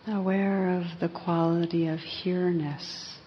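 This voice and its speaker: A woman leads a guided meditation in a low, very even voice. She speaks gently and slowly, leaving pauses between phrases. The recording is slightly muffled. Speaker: meditation teacher. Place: live evening group session. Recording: slightly muffled live recording, faint hiss.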